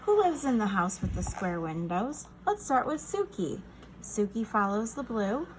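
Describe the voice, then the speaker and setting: Female children's read-aloud teacher: unhurried, lively pitch swings, encouraging and instructional, slight noise behind. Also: low-quality recording. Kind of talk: reading a picture book aloud to young children.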